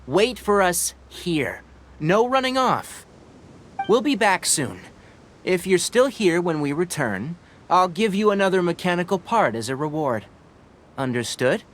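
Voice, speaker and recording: An actor playing a cautious young forest scholar in a video game, speaking in a precise, analytical, instructive way over music. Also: male voice, high voice, sing-song, measured pace, fairly bright tone, slight background noise, heavily acted. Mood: firm.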